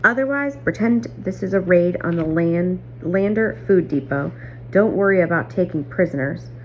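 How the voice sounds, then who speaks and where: A woman's voice: lowish voice, moderate pace, natural voice. Woman as driven teen girl miner, stern, briefing tone, storybook, muffled with hum. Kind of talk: acted dialogue in a storybook reading. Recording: muffled audio, clear background hum.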